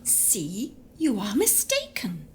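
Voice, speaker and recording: A woman playing a haughty, brisk English widow, bossy and formal, in an audio drama. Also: medium pitch, wildly swooping pitch, breathy edge, full character voice. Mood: curt.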